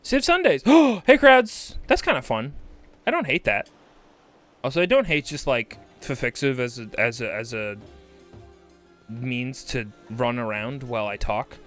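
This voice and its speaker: A man's voice: mid-range voice, very animated, a little slow, fairly bright tone, soft background music. A man hosting a game livestream, chatting casually and exclaiming often, with sweary asides. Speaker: livestream host.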